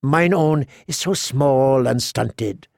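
A man's voice: medium pitch, slow, vocal fry, a little mellow, no echo. A man voicing a witty, bitter adult dwarf lord who speaks in a dry, mocking way.

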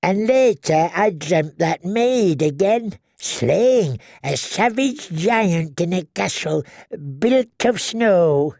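A man voicing an ancient, tiny dwarf woman seer who speaks slowly and eerily with a croaking, drawn-out voice. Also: high-pitched, animated, a little mellow, dry recording, clean studio sound, pitched above the performer's own voice, full character voice. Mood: ominous. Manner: slow, wheedling, prophetic. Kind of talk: audiobook character voice.

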